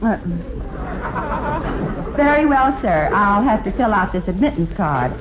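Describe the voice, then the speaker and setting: A woman playing a brisk, officious admitting nurse in an old radio comedy. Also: medium pitch, big pitch swings. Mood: businesslike. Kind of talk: 1950s radio comedy acting. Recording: hissy, muffled 1950s radio recording.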